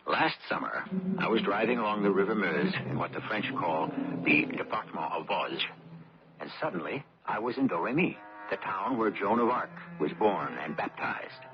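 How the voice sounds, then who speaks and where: A man's voice: low voice, animated, moderate pace, noisy background. A man hosting and narrating a scripted radio mystery drama. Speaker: host and narrator. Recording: dull lo-fi radio recording, music behind.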